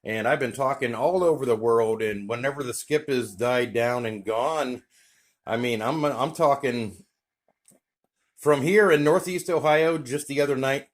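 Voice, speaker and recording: A man's voice: mid-range voice, a little slow. An American man speaks in a mid-range voice. He has a folksy, chatty manner and talks straight to his viewers in an easygoing vlog style, as a radio hobbyist would. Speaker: YouTube vlogger, CB radio hobbyist. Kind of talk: casual vlog talk to camera.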